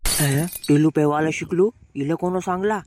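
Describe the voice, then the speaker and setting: A man's voice: a little high, a little slow, darkish tone, a little hiss or hum, lightly acted. A man voicing a brash, grumbling young village man with a rural Marathi accent, bickering in slang in a comic cartoon. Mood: grumbling banter.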